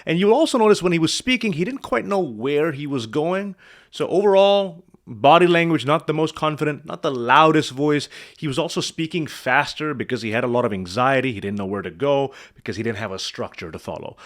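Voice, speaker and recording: Male speech coach, expressive pitch, direct rhetorical coaching delivery. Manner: animated, asks the viewer rhetorical questions. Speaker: speaking coach. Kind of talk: coaching commentary on a video.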